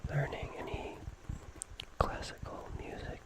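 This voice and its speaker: A young man whispering casual, rambling ASMR in a hushed, gentle manner with long pauses. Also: rough recording. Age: young adult. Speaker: ASMR host.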